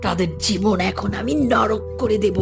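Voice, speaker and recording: A woman's voice: mid-range voice, unhurried, creaky, music in the background. A woman voicing a wrathful, imperious evil sorceress in a fairy-tale cartoon, barking demands. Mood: vengeful, commanding.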